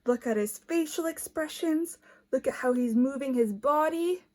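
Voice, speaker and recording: A woman teacher reading a picture book aloud to young children, expressive and emphatic, addressing them directly with questions. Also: high-pitched, moderate pace.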